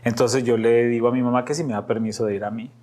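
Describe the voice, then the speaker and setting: A man's voice: mid-range voice, steady tone, quick pace, darkish tone. Colombian man with paisa accent, telling stories. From Medellín, Antioquia, Colombia. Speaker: podcast guest. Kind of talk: casual personal storytelling.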